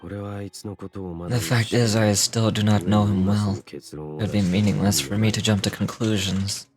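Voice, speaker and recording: A woman voicing a brooding, grave man from a fantasy game, with a low, grainy voice and terse, solemn delivery. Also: measured pace. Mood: solemn, reflective. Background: game music.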